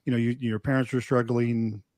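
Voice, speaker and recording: Guy hosting a podcast, mid-pitched voice, dry close sound, rambly questions with lots of uhs. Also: level tone, brisk, a little mellow, no room sound. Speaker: podcast host. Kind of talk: casual interview talk.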